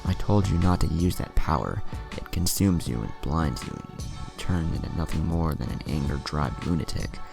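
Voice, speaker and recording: Deep-voiced young ninja hero played by a man. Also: expressive, moderate pace, creaky, full character voice. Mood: scolding. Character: confident, playful young male ninja hero. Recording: music under the voice.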